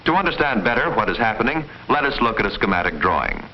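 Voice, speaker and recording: A man reading formal, measured, didactic scripted narration in the style of a mid-century educational film. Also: lowish voice, big pitch swings. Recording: muffled, noisy old film soundtrack.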